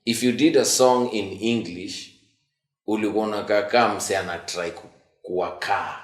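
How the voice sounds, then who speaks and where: Deep-voiced Kenyan man. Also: moderate pace. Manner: relaxed storytelling, code-switching. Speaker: guest musician. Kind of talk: casual interview in Sheng and English. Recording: roomy echo.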